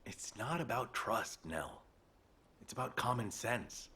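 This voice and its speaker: A practical military engineer in a video game. His voice is mid-pitched and noticeably husky, and he argues in a matter-of-fact, skeptical manner. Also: lively intonation, slow, sharp tone, heavily acted. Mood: skeptical, exasperated.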